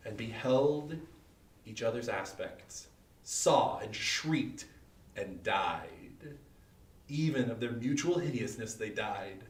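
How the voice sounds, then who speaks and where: Guy doing solemn dramatic poem reading, really slow w big pauses, roomy echo. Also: mid-range voice. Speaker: volunteer or library staff reader. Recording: echoey room, distant mic.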